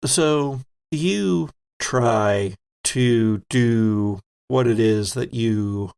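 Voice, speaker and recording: A man narrating a tabletop horror game as game master, with deliberate, dramatic storytelling. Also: medium pitch, vocal fry, a little mellow, smooth. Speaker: game master, podcast host.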